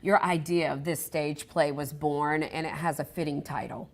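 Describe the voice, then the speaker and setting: A woman's voice: sits low, steady tone, moderate pace. An American woman hosting a morning news show, conducting a broadcast interview.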